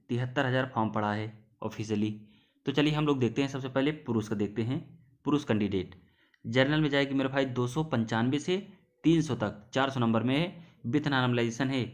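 Man explaining, mid-pitched voice. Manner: repetitive, addresses listeners as brothers. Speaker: exam-preparation YouTube presenter. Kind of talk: informal explainer over on-screen notes.

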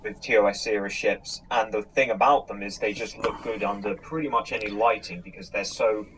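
Man, fairly low voice, casual chat, hesitant, thinking aloud, slight background noise. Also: steady tone, brisk, a bit bright, low-quality recording. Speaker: podcast host.

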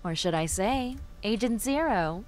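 A woman's voice: medium pitch, animated, a little slow, a little mellow, slight background noise, close to an everyday voice. A woman playing a gloating, bitter villainess and crime boss in an audio drama, with a mocking, theatrical delivery. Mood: smug, taunting.

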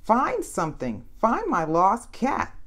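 Woman voicing a dramatic, excitable little girl, higher-pitched. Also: heavily acted. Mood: insistent. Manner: dramatic, drawn-out, emphatic. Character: strange, excitable cat-loving little girl. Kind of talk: storybook character voice.

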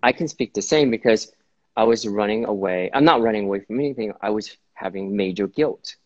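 A man in his forties from Vietnam, a hairdresser being interviewed on a live video call, talking casually and haltingly, often repeating words. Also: on the low side, darkish tone. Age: 40s. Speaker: guest hairdresser. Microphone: video call on phone. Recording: dull lo-fi call audio.

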